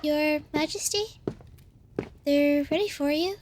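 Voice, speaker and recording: A woman's voice: high voice, slow pace, background noise. A woman playing a young, deferential female attendant to a queen in an audio roleplay, speaking politely.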